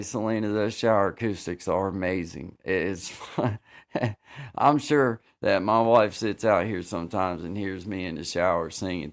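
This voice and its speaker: A man hosting a livestream, chatting casually and rambling, often repeating himself and filling pauses. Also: sits low, gravelly. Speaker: livestream host.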